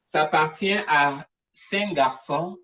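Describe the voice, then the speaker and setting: A woman hosting a casual live stream, talking in an animated, digressive, chatty way. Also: deep voice, takes it slowly. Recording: distant from the mic.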